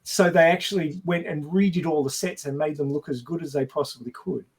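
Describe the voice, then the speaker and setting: A man with a fairly high-pitched voice talks casually about film. He tells behind-the-scenes stories as a podcast panelist, slipping into quoted lines in an animated way. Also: a little fast, slightly rough.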